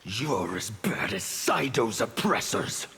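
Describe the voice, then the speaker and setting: An actor playing a burned anarchist revolutionary villain in a video game, gravelly and forceful, with menacing, theatrical declamation. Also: male voice, fairly low pitch, very animated, slow, full character voice. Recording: long reverb, faint background noise.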